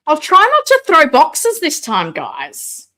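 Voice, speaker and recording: A high-voiced woman chatting on a live stream, her pitch bouncing all over as she talks. She reads out viewer comments and jokes about herself while she unboxes a figure. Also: huge pitch swings, slow, fairly bright tone. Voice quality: self-described booming voice. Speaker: host of a live toy collecting show. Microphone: desk mic, moved away at times.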